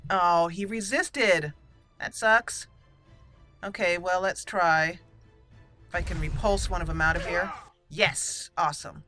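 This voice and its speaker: A woman's voice: on the low side, steady tone, slow, pauses often, sharp tone, soft background music. A woman giving casual, chatty commentary as she plays and roleplays a game, talking to the characters. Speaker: host of a roleplay game playthrough.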